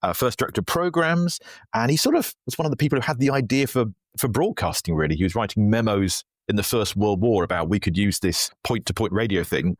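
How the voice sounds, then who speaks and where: A man's voice: on the low side, fairly quick, no room sound. A man talking conversationally as an interview guest, telling history in a chatty, digressive, self-deprecating way. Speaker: writer and radio historian, podcast guest.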